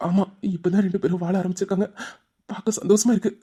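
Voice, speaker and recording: A woman's voice: medium pitch, steady tone, quick pace, warm tone, husky, natural voice. A woman acting a caring, slightly hesitant elder brother in a family audio drama. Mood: kindly. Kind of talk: melodramatic Tamil audio drama.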